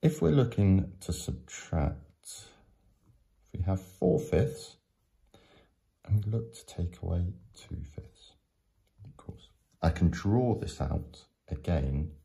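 Male tutor for step-by-step lesson narration: very low pitch, gravelly creak, patient explanatory delivery. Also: animated, dark tone, poor audio. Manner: patient, explanatory, with frequent pauses. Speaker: teacher.

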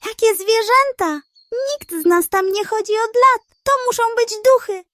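A woman's voice: extremely high pitch, measured pace, bright, forceful tone, dry recording, pitched above the performer's own voice, heavily acted. A woman voicing a frightened, squealing animal from a bedtime story, alarmed and insistent. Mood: shrill panic. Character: frightened shrill townsfolk animal, squealing about ghosts.

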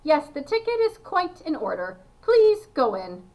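A woman voicing an excitable, eccentric little man who gushes and repeats himself in a high voice as she reads a children's storybook. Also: measured pace, lo-fi sound, exaggerated voice. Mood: gushing delight. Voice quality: high-pitched. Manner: effusive, exclamatory, repeating himself. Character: excitable, gushing, eccentric little man, a candy factory owner.

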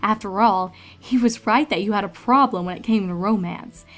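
A woman's voice: mid-range voice, normal speed, faint music behind. A woman narrator reading a story aloud in a scripted, dramatic storytelling style.

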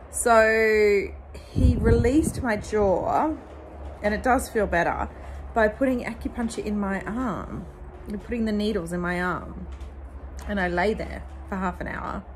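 A woman's voice: medium pitch, a little hiss or hum, rough recording. A woman in her thirties with an Australian accent, chatting casually and bluntly in a book vlog, filmed on a phone at arm's length at home. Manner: chatty, blunt, exasperated asides. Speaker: book vlogger. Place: car, then living room at home. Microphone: phone camera at arm's length.

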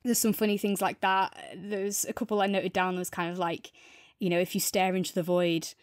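Female podcast co-host, mid-pitched, casual and rambling, very dry recording. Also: level tone, a little fast, no room sound. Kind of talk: casual review chat.

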